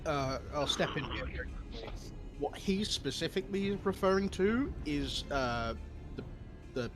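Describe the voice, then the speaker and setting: Man explaining over clear game music. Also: on the high side, lively intonation, a little slow. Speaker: game stream participant explaining lore. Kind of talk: casual explanation.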